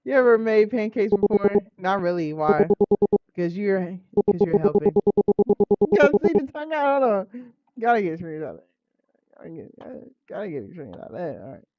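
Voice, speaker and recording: Casual streamer narrating a visual novel, expressive and slangy, slightly muffled audio over soft music. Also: medium pitch, normal speed. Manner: informal, expressive, breaking into asides and slang. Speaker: game streamer. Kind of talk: reading a visual novel aloud with casual live commentary.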